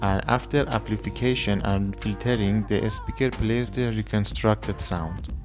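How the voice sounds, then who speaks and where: A man's voice: on the low side, steady tone, measured pace, over music. A man in his twenties narrating an electronics tutorial as the presenter of his own videos. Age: 20s.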